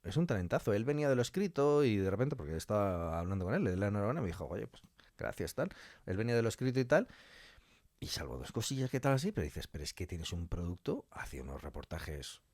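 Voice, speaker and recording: A man's voice: fairly low pitch, sing-song, fast, a little mellow, dry recording, studio quality. A man from talking casually and colloquially as an interview guest, giving his opinions.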